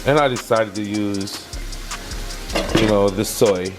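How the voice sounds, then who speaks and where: A man's voice: fairly low pitch, takes it slowly. A man casually talking viewers through his home cooking. He rambles a little, repeats himself, and keeps asking the audience questions. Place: home kitchen. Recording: music playing behind voice.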